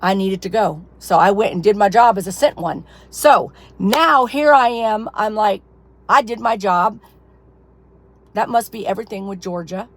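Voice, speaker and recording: A woman's voice: medium pitch, moderate pace. A woman who is losing her voice, telling a rambling personal testimony to her followers, full of fillers. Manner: rambling, filler-heavy storytelling. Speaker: ministry leader. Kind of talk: casual personal testimony to camera.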